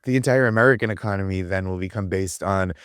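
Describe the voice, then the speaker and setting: A man on a comedy podcast panel, delivering satirical jokes in a wry, measured way. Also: low-pitched, fairly bright tone, smooth, no room sound, studio quality.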